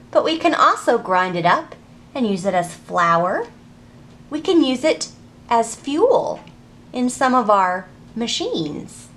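A woman reads and teaches for young children as a storytime host. Her voice sits fairly high and swings widely in pitch. She takes her time, phrasing things in a deliberate sing-song. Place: public library children's section.

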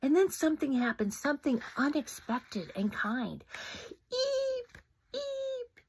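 A woman in her fifties reading a picture book aloud at home into a phone camera, animated, with whispered asides. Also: a little high, moderate pace, a little hiss or hum, low-quality recording. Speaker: storybook reader. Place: home room indoors. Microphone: phone camera close up.

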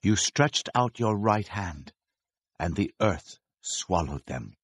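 A reverent Israelite man proclaiming victory in a scripture audio drama. He is grave and declamatory, with a lowish voice and very unhurried delivery. The recording is dry, with no room sound. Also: sing-song, breathy edge, dry recording, heavily acted. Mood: grave exaltation.

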